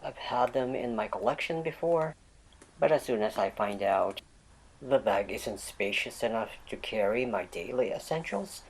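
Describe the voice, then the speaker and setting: A vlog host narrating a product unboxing with a voice left raspy by a lingering cold. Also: mid-range voice, lively intonation, normal speed, poor audio.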